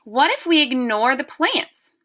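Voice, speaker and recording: A curious, chatty young girl from a storybook, read by a woman. Her voice jumps very high and bright, with lively emphasis. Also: a little slow, exaggerated voice.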